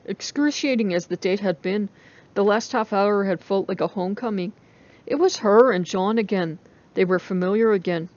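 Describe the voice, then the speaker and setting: A woman's voice: medium pitch, moderate pace, darkish tone. Woman doing dramatic audiobook narration, kinda muffled lo-fi recording. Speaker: story reader.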